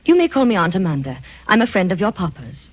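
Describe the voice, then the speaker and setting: An actress playing a genteel, soothing, gently probing family friend in an old-time radio drama, speaking earnestly and coaxingly. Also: female voice, mid-range voice, very animated, fast, no room sound. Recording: dull old radio recording, faint music.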